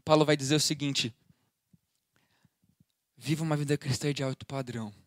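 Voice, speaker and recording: A man's voice: a little high, steady tone, moderate pace, no echo. A man with a Brazilian Portuguese accent, preaching a livestreamed Bible teaching, explaining with homely everyday examples and earnest exhortation.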